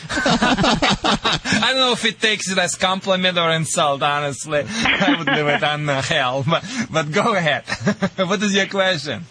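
A man's voice: high-pitched, animated, measured pace, pushed and bright, poor audio. A man with a Russian accent and broken English, giving dog behavior advice on a call-in radio show. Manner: talkative, broken English, chuckles often. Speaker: dog trainer guest.

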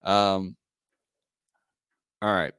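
A hoarse man with a lowish voice hosts a casual podcast, working through listener comments. He talks in a loose, hesitant way with plenty of fillers, recorded close and dry with no room sound. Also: fairly even intonation, very slow, fairly bright tone, no echo. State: hoarse, losing his voice. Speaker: podcast host.

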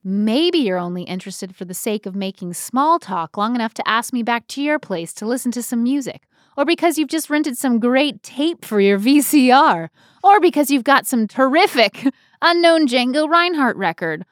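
Woman acting skeptical blunt woman, sardonic, pointed, fairly high voice, amateur play reading, dry sound. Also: expressive, normal speed, no echo, natural voice. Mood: scornful. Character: Betty, skeptical, blunt woman rebuffing a stranger's pickup.

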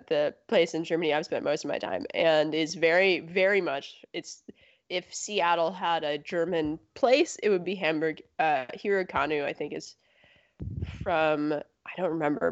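A young woman in her twenties co-hosting a podcast, rambling through casual chat with laughing asides and restarts. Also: low-pitched. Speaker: podcast co-host. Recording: muffled lo-fi audio.

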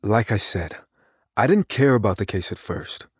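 An actor playing an unassuming, secretive young computer whiz, giving a guarded, deliberate confession in an audio drama. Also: male voice, on the low side, sing-song, husky, no room sound, clean studio sound, soft background music, exaggerated voice. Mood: guarded, reflective. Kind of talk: dramatic first-person audio fiction narration.

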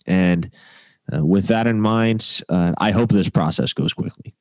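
A man's voice: deep voice, unhurried, no echo, studio quality. A man co-hosting a sports podcast, talking in an emphatic, opinionated way. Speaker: podcast co-host. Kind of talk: casual sports chat.

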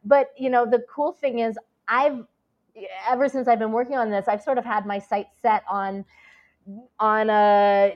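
A woman's voice: fairly high pitch, steady tone, unhurried, highs cut off. A woman actress chatting as an interview guest, talking freely with many fillers and restarts. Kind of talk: casual interview chat.

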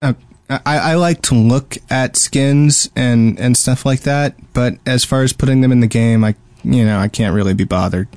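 A man's voice: medium pitch, moderate pace, darkish tone. A man with a mid-range voice speaks with no room echo at all. He co-hosts a casual gaming podcast in an informal, somewhat hesitant way. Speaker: podcast co-host.

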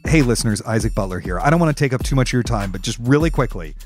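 A man's voice: mid-range voice, lively intonation, very fast, a little mellow, soft background music. A man hosting a podcast, making a casual, self-effacing appeal to listeners. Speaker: podcast host.

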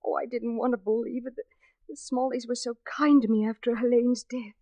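High-voiced frightened sweetheart played by an actress. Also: female voice, steady tone, a little fast, mellow, no echo, natural voice. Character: frightened, tender young sweetheart, a woman in danger. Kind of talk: 1940s radio acting.

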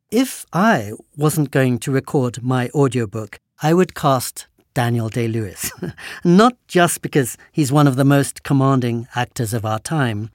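A man in his sixties with an English accent, an author reading a prepared statement at a quick but measured cadence. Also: medium pitch, no echo, studio quality. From England.

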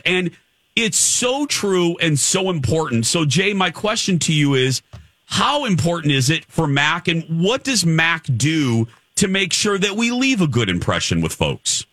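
Guy hosting a radio chat, super animated pitch, chummy and enthusiastic, dry studio sound. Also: no room sound. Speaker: radio show host. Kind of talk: upbeat radio interview and chat.

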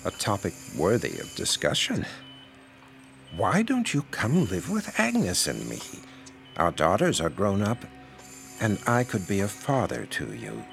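An American actor playing a middle-aged, paternal, insinuating pastor in an audio drama, measured and preachy. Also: male voice, fairly low pitch, huge pitch swings, creaky, a bit bright, faint music behind, heavily acted. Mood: coaxing. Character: paternal, insinuating small-town pastor with grown daughters.

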